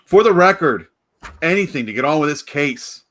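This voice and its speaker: Man playing a sharp, forceful, pompous prosecutor. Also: a little high, lively intonation, moderate pace. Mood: exasperated. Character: pompous, exasperated prosecuting district attorney. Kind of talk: 1940s-style live radio play acting.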